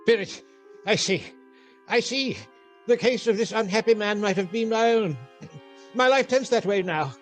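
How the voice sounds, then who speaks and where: A man's voice: high voice, moderate pace, pauses often, faint music behind, lightly acted. A man playing a fearful, repentant old miser in a classic radio play, earnest and pleading. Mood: desperate pleading.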